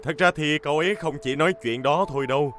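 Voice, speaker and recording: A man playing a scheming media reporter in a cartoon dub, smooth-talking and insinuating. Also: a little high, fast, a bit bright, lightly acted. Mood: sly, goading. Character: sly, scheming adult male media reporter.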